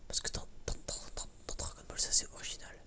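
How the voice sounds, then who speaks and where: A woman chatting casually as an ASMR creator, in a rambling, halting way that often repeats words and phrases. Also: bright, forceful tone. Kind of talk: whispered ASMR casual chat.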